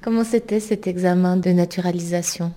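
A woman hosting an interview segment, asking brief, clear questions. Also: lowish voice, fairly even intonation, fairly quick, warm tone. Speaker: interviewer and host.

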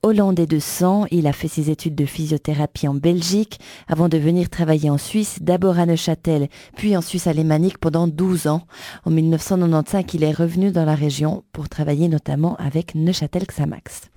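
Dark-voiced woman presenting an interview. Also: low-pitched, fairly even intonation, a little fast. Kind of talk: scripted presentation and interview questions.

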